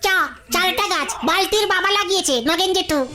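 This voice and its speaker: Little cartoon kid, really squeaky high, halting, loud music underneath. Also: female voice. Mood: childlike. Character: small child in a comic cartoon gang.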